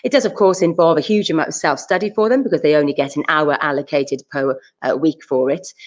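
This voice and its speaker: Low-voiced woman from South Wales. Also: quick pace. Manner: earnest, emphatic, fillers like "um". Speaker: school staff member. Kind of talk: presenting at a school options evening.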